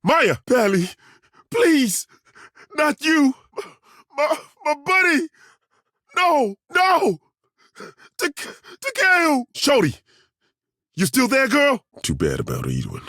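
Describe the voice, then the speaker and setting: Gruff, husky old-soldier dwarf for a fantasy game, unhurried and dry, bright edge in the tone. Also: male voice, very high voice, huge pitch swings, no room sound, exaggerated voice. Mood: battle roaring. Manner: dry, wry and plainspoken, with roaring battle cries. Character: gruff, folksy middle-aged male dwarf fighter. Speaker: party companion. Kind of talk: video game voice acting, barks and banter.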